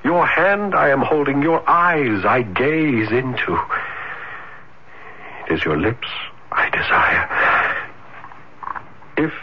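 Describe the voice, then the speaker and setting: An actor playing a shy, ardent young man in an old-time radio drama, speaking in earnest, halting, impassioned declarations. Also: medium pitch, lively intonation. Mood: ardent longing. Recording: dull, noisy vintage radio broadcast.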